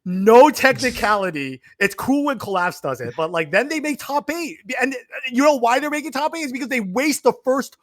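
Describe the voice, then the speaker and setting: High-pitched, emphatic man on a podcast. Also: expressive, moderate pace, few pauses, fairly bright tone. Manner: emphatic ranting with frequent repetition. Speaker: podcast guest. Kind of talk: casual opinionated podcast discussion.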